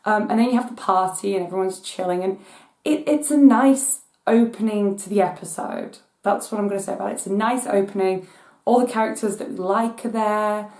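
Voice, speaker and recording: A woman hosting her own channel, hoarse from losing her voice, ranting in exasperation as her voice cracks high now and then. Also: brisk, darkish tone, reverberant. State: hoarse from voice loss. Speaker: channel host. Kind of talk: casual rant vlog.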